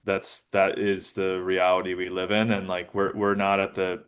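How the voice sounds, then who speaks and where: A man answering as an interview guest, talking informally and haltingly with many filler words. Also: deep voice, completely flat delivery, smooth. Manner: informal, halting, frequent "like" and "you know". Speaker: interview guest, app founder. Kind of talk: conversational interview.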